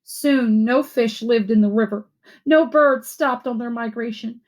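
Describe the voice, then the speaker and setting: A woman's voice: a little high, a little slow. Woman teacher reading a story aloud. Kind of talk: read-aloud storytelling and simple instructions for children.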